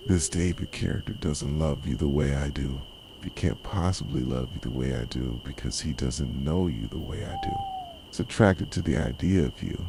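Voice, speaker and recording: A man playing a possessive, wounded yandere boyfriend in an intense, pleading ASMR roleplay monologue, with a soft voice. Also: very deep voice, gravelly. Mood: bitter, wounded. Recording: noisy track with night crickets and owls. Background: night ambience of crickets, owls and insects.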